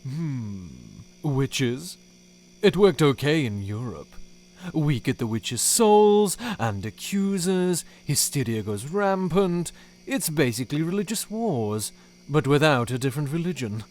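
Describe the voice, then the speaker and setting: Offhand demon schemer, played by a man, lightish higher male voice, matter-of-fact, for a homemade podcast drama. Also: big pitch swings, unhurried, darkish tone. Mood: offhand pitching. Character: casual scheming male underling demon pitching ideas. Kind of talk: podcast audio drama acting. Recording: amateur home recording.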